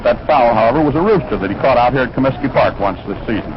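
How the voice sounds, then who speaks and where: An American man announces baseball in an old 1940s radio style, with a mid-pitched voice. He describes the play and chats conversationally. The old broadcast sounds dull, with clear noise around him. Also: lively intonation, fast talker. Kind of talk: 1940s radio sports commentary. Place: ballpark broadcast position.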